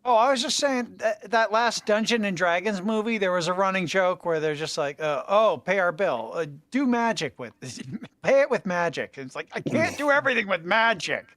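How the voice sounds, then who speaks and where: A man's voice: sits high, animated, normal speed, a bit bright, no echo, clean studio sound. A man taking part as a panelist in casual, comedic podcast banter.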